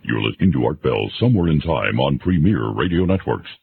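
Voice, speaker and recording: A man's voice: very deep voice, big pitch swings, brisk, creaky. A man reading a scripted program announcement as a radio network announcer, on a narrow, noisy broadcast.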